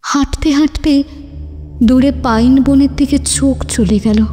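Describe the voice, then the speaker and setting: A woman's voice: high-pitched, fairly even intonation, unhurried, mellow. A woman playing a frightened wife who narrates her own ghostly encounter in a theatrical, suspenseful horror cartoon style. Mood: hushed wonder. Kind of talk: dramatic first-person horror narration. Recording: long reverb, music behind.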